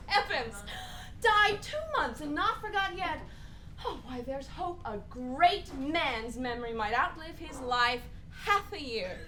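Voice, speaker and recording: Woman doing a sardonic young prince in a shakespeare radio play, swoopy and high, lots of noise. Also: slow pace, heavily acted. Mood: bitterly merry. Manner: sardonic, excitable, exclamatory. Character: mocking, manic young prince of Denmark.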